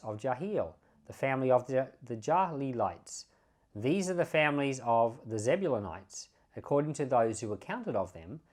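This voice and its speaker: A man reading the Bible aloud as a pastor, in measured phrases with emphatic openings. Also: mid-range voice.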